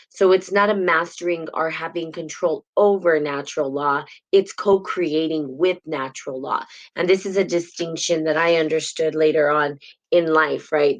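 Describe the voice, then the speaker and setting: A woman's voice: low voice, lo-fi sound. A woman hosting a live spiritual talk stream, teaching casually in a rambling way and greeting viewers by name, heard on a built-in device mic. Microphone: built-in device mic instead of her usual mic.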